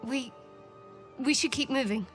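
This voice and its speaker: A woman's voice: high voice, unhurried, faint music behind. A woman playing a secretive, cool young adventurer in a video game, speaking in a dry, guarded way. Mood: guarded, thoughtful. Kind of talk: video game dramatic acting.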